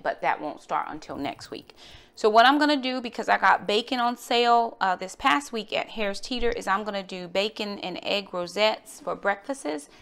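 A woman's voice: medium pitch, a bit bright. Female home cooking vlogger, mid-range voice, casual narration to viewers.

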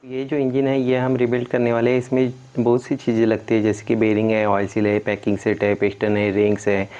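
A man's voice: lowish voice, completely flat delivery, a little fast, darkish tone, smooth. A man giving hands-on instructional commentary as a mechanic, explaining and pointing things out step by step. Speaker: car mechanic presenting a tutorial. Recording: dull lo-fi sound.

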